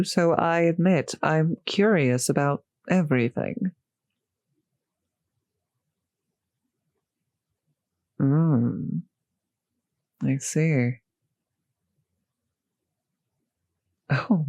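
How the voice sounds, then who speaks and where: A woman playing a courteous, gentle centuries-old vampire host in a roleplay audio drama, speaking politely and slightly formally to the listener, with soft hesitations. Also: a bass voice, dry recording. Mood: curious, warm. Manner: polite, softly hesitant, old-fashioned genteel phrasing.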